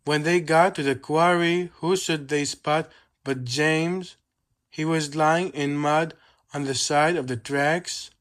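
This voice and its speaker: A storybook narrator reads aloud for children. The delivery is scripted and slow, with a medium-pitched voice that gives each phrase room. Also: steady tone.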